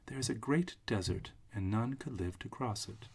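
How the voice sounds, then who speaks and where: A man's voice: lowish voice, expressive, normal speed, gravelly, a little mellow, husky, exaggerated voice. A man voicing a solemn, helpful little Munchkin man giving grave information in a storybook read-aloud.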